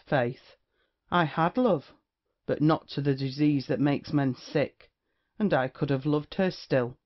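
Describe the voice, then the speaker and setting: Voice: mid-range voice, natural voice. A performer playing a swaggering young rake, dull lo-fi sound. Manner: archaic, swaggering, flippant speech. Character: brash, witty young male rake, swearing casually. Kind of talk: period comedy audio play acting. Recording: dull, lo-fi audio.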